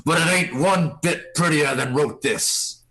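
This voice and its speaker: A man's voice: fairly high pitch, measured pace, sharp tone, rough recording. A man playing a menacing old pirate ringleader in a comedic read, with taunting, theatrical commands. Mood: sardonic, triumphant. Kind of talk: live comedic table read.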